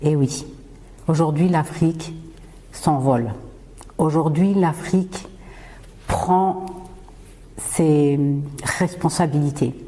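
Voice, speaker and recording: Slow, low-voiced woman with Swahili roots. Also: fairly even intonation, warm tone, long reverb tail. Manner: slow, halting delivery with frequent "euh" and repeated words; impassioned, rallying. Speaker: podcast host. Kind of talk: earnest solo podcast monologue.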